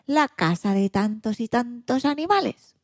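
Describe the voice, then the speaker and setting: A woman's voice: fairly high pitch, lively intonation, unhurried, dry recording, clean studio sound, voice pushed up. A woman voicing an earnest young boy in a children's storybook, thinking aloud in a pensive, questioning way. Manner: pensive, questioning, talking to himself. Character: earnest young boy who loves the sea.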